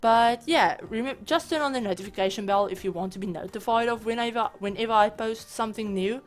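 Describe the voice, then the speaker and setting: A woman hosting a gaming channel, talking casually over her gameplay with a hoarse, raspy voice, chatty and apologetic, full of fillers. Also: fairly high pitch, brisk, soft background music. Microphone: home voice-over mic.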